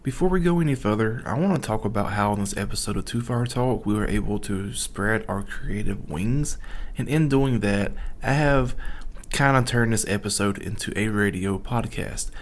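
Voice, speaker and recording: A man with a somewhat low-pitched voice hosts a podcast. His delivery is casual and conversational, like easy talk with a friend. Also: normal speed. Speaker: podcast host. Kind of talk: casual chat.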